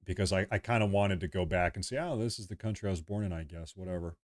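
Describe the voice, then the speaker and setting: A young man from the United States hosts a loose livestream, talking straight to his viewers. His voice sits very low, and he rambles, circling back over the same phrases as he reads chat and fills time. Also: quick pace. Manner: rambling, repeats himself, reads chat aloud. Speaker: livestream host.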